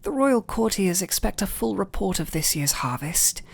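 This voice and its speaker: A man with a British accent playing a gentle, overworked vampire lord in a romantic ASMR roleplay, speaking softly, formally and a little haltingly. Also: sits high, big pitch swings, exaggerated voice. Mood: weary, reserved. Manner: soft, breathy, halting, formal. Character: gentle, overworked aristocratic male vampire lord, restrained and devoted.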